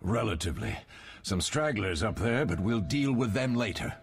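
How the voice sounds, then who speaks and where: An actor playing a weary, fatherly older warrior mentor in a fantasy game, measured and grave. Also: on the low side, expressive. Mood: steady, resolved.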